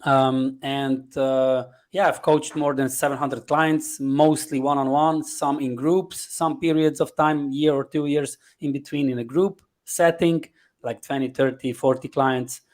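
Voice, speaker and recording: A man's voice: mid-range voice, steady tone, a little slow, a little mellow. A man from Slovenia with a Slovenian accent, answering interview questions conversationally as a guest, in short listed fragments of non-native English. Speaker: podcast guest, life coach.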